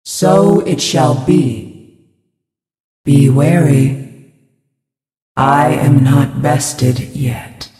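A woman playing a serene, devout female spirit healer in a video game, speaking in a measured, ceremonious, pious way. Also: a bass voice, warm tone, far from the mic, full character voice. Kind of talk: video game character voice lines. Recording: reverberant hall effect, music behind.

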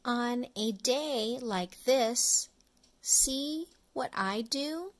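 A middle-aged woman reading an early reader picture book aloud to young children, phrase by phrase in a deliberate way. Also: on the high side. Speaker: storyteller, children's read-along host.

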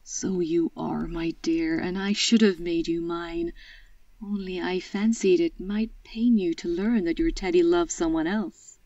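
Mellow, low-voiced gentle mother. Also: fairly even intonation, dry recording, far from the mic, lo-fi sound. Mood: warm, reassuring. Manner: soothing, tender, measured. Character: gentle, wise, loving mother of grown daughters. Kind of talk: audio drama acting.

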